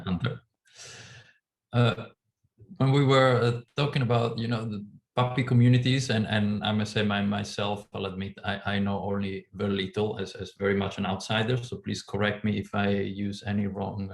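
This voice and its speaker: A man hosting a casual video call chat, halting and hesitant with many fillers. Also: on the low side, vocal fry, echoey room. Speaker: host.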